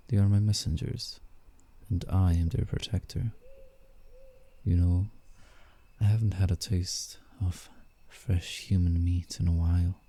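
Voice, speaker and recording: A man playing a commanding, teasing alpha werewolf in a roleplay ASMR, with menace in his delivery. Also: exaggerated voice. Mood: menacing, smug. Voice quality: dark, gravelly low voice. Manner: slow, deliberate, teasing menace. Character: male alpha werewolf, predatory, teasing, commanding forest protector.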